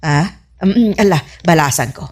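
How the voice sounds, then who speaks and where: A woman's voice: low-pitched, animated, moderate pace, a little mellow. Woman acting swooping, prying old mother, Ilocano Filipino. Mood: pleased, musing. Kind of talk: Ilocano comedy radio drama acting.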